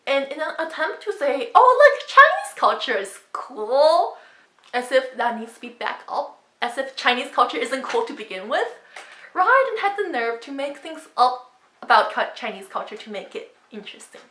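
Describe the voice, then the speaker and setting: A woman's voice: high voice, expressive, normal speed, fairly bright tone. A woman giving emphatic, opinionated commentary to camera as a book vlogger. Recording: roomy echo.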